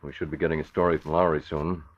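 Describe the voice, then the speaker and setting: An actor playing a composed, matter-of-fact newspaper publisher in 1940s radio style. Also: male voice, very deep voice, level tone, quick pace. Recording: muffled old radio recording.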